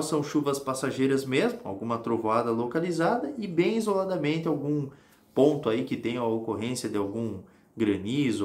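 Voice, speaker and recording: A man in his thirties speaks with a southern Brazilian accent at a middling pitch. He explains the weather informally, like a forecaster chatting straight to his online audience, with colloquial tags to check that they follow. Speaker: meteorologist, weather channel host. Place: small home office with monitors, indoors.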